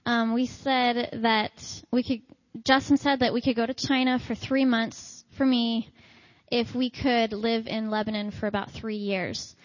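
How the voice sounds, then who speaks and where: A woman answering interview questions about her husband in an earnest, lightly halting way. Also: on the high side, level tone, no echo. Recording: dry, dull lo-fi sound.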